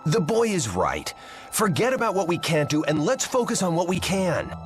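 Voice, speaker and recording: A man's voice: high-pitched, sing-song, moderate pace. An actor playing a stout-hearted, plain-spoken townsman in a video game. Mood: determined. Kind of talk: English video game voice acting. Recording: light music behind the voice.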